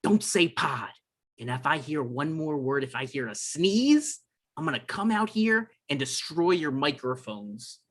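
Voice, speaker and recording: A man's voice: fairly high pitch, animated, measured pace, fairly bright tone. A man playing a defensive, self-important novelist who whines and argues, in a table read of a screenplay. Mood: indignant. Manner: argumentative, exasperated. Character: defensive, self-important male novelist, whiny and quick to anger.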